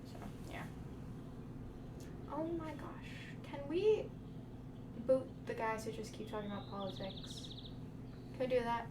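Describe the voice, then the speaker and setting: A woman's voice: on the high side, expressive, unhurried, long pauses. A young woman vlogger in easygoing casual chat, full of fillers. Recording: echoey room, mic at a distance, background noise.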